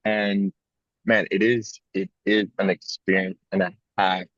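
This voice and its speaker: A man's voice: sits low, level tone, a little slow, fairly bright tone, no room sound, dull audio. A young man co-hosting a podcast, talking in a casual, rambling and reflective way with frequent "like" fillers. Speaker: podcast co-host.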